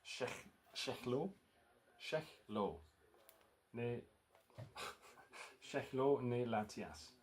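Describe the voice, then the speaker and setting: A man's voice: medium pitch, animated, very slow, pauses often, a little mellow. A man with a Danish accent, a native Danish speaker, casually showing off records in a rambling vlog full of ums and uhs. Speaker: record collector vlogger.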